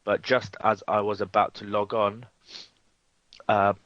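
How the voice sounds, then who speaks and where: A man's voice: lowish voice, little pitch movement, a little slow, no room sound. A man with a cold, presenting a live trading webinar with frequent ums and restarts. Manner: hesitant, many ums and restarts. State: has a cold, sniffly. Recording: dry, dull lo-fi webinar audio.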